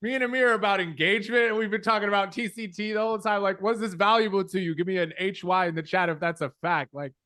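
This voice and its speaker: A man, a guest speaker, fires up the audience in a hype, rallying way. His voice is high for a man and he talks very fast. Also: fairly even intonation, pushed and bright. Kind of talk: hyping an audience.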